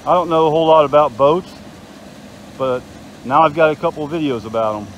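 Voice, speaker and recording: A man's voice: on the high side, a little fast, muffled recording, a little hiss or hum. Chatty man filming by a river. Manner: chatty, enthusiastic, points things out. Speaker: vlogger. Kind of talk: casual outdoor video tour. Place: outdoors by a river.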